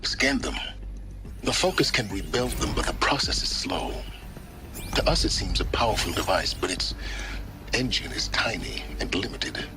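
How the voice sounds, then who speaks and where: Game mentor, middle-aged male actor: deep, measured, aloof, formal, grave authority. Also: lively intonation, music in the background, close to an everyday voice. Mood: detached, instructive. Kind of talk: video game cutscene acting.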